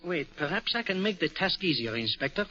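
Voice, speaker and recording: An actor in a 1940s radio mystery playing a shrewd, courtly, self-assured detective with a French accent, speaking precisely and theatrically. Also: male voice, high-pitched, sing-song, a little fast, bright, forceful tone, heavily acted. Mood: confident, explanatory. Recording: muffled vintage 1940s radio recording.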